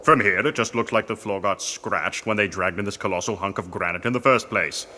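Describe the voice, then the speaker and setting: A man's voice: lowish voice, brisk, bright, forceful tone, a little hiss or hum. A man acting a pompous, lazy, grumbling archaeologist with a fussy manner in a comic audio drama. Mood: indignant. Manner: fussy, self-important.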